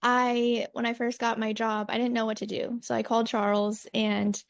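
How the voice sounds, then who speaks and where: Twenties woman, gravelly, pretty flat, dry close sound. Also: a little high, normal speed, dry recording. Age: 20s. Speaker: marketing manager. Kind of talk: casual interview answers.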